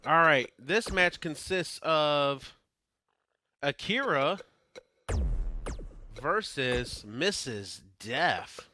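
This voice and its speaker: A man's voice: on the high side, expressive, extremely slow, long pauses, bright, forceful tone. A man doing informal live play-by-play of a wrestling game, talking through his slips, his voice starting to give out. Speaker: e-fed wrestling streamer and commentator. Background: wrestling game crowd.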